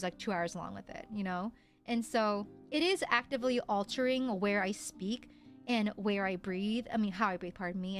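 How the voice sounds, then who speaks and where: A woman putting on a low, breathy voice. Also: natural voice. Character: lower, breathy alternate voice of herself.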